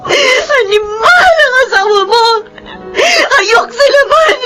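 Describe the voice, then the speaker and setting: A distraught wife in a radio melodrama. Her voice is extremely high and bright, tearful and forceful, with words drawn out slowly. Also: animated, soft background music, exaggerated voice. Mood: bitter anger. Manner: shrill, tearful crying out. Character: terrified, then bitterly angry victimized wife. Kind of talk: melodramatic radio drama acting.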